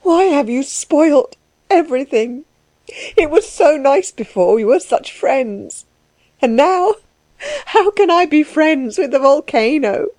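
A woman voicing a tearful, apologetic young woman in an audiobook story, halting and pleading as she cries. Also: sits high, expressive, a little mellow, no room sound, pitched above the performer's own voice. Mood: distressed, crying. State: crying.

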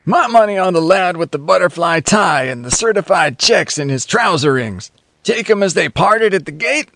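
Male reader as a sardonic drawling yarn-spinner, slangy, lively pitch, dry close sound. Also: moderate pace, vocal fry, dry recording, heavily acted. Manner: folksy slangy storyteller. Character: cynical drawling man, rasping chuckle.

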